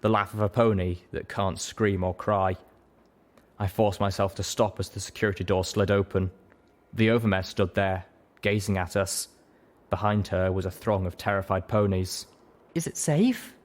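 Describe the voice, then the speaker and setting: A man's voice: low-pitched, little pitch movement, normal speed. A man reading fiction aloud as the narrator of a reading show, in a dramatic scripted style.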